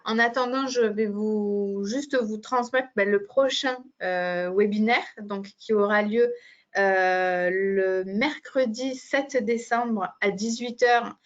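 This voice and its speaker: A woman's voice: mid-range voice, slow. A woman hosting a webinar, speaking informally as she gives thanks and announcements. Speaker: webinar host for a medical device company. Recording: muffled, band-limited webinar audio.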